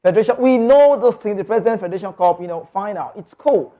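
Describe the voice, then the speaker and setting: A man's voice: sits high, animated, fast talker, dull audio. A Nigerian man hosting a sports talk show, giving passionate, opinionated football commentary full of emphatic rhetorical questions.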